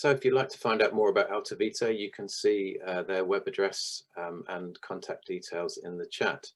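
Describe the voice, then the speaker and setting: A man with a very low, deep voice hosts an online panel. He is hoarse and croaky from losing his voice, and his talk is full of ums and small restarts. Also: fairly even intonation, moderate pace. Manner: hesitant, with frequent ums. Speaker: webinar host. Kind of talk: hosting a video-call panel. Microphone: video call.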